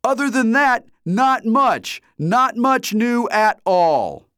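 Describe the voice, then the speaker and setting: A man voicing an excitable young man, the narrator's loud, gushing best friend, shouting over the top with excitement. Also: sits high, takes it slowly, no room sound, studio quality, pitched above the performer's own voice, exaggerated voice. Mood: excited. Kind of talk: audiobook character voice.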